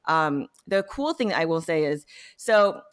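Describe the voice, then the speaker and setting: A woman's voice: low-pitched, expressive, a little slow. A woman telling her own story as an interview guest, speaking informally and conversationally, with many "you know"s and "like"s. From born in South Korea, later immigrated to the United States. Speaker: interview guest, data science professional.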